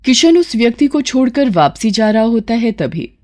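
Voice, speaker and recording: A woman's voice: fairly high pitch, sing-song, normal speed, a little mellow. A woman narrates a moral story in a scripted storytelling style.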